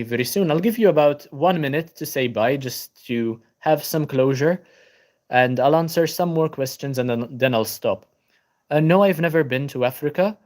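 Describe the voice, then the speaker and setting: Tired young man chatting. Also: mid-range voice. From Malta. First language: Maltese. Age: young adult. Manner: relaxed, many ums, greets viewers by name. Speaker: book channel livestreamer. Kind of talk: casual livestream chat, reading viewer comments and answering. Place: at home at a laptop.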